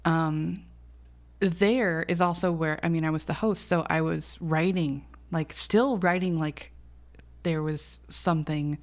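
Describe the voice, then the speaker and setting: Brief: thirties woman guest, chatty and self-deprecating, lowish voice. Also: fairly even intonation, slow. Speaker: singer-songwriter guest. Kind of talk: casual podcast chat.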